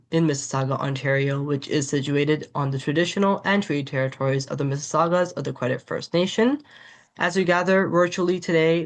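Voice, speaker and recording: A webinar host reading a formal prepared introduction over a video call. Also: mid-range voice. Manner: reads prepared remarks, formal. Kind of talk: scripted introduction.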